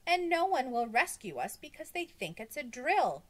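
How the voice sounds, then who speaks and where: A woman's voice: sits high, big pitch swings, normal speed, voice pushed up. A woman voicing an anxious, stubborn schoolboy who talks in an insistent, alarmed way in a storybook. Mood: worried, insistent. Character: anxious, stubborn schoolboy worried about disaster.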